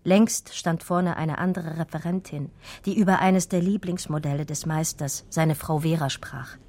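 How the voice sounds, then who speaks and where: A dreamy romantic woman art historian, husky intimate radio play narration, bone dry sound. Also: sits low, fairly even intonation, moderate pace, dark tone, no echo. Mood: dreamy, reflective. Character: romantic, daydreaming female art historian recalling an infatuation. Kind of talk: radio drama first-person narration, literary.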